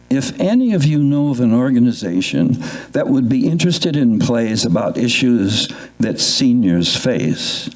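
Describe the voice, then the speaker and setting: An older man presenting at a live readers theatre event, giving thanks and announcements in a measured, formal way. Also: medium pitch, a little mellow, rough recording. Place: indoor live theatre event, speaking to an audience.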